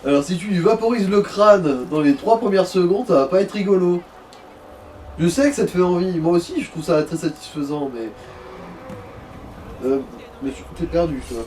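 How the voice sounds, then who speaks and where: Fairly high male gamer, slightly distant. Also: normal speed, darkish tone. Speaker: co-commentator of a let's play. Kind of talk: casual gaming commentary. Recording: noisy room, distant microphone.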